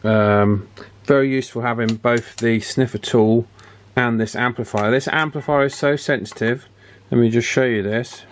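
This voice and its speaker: A man's voice: mid-range voice, steady tone, moderate pace. A man working at a bench, talking casually through a radio repair as he thinks aloud, with fillers and restarts. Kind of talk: casual workbench tutorial.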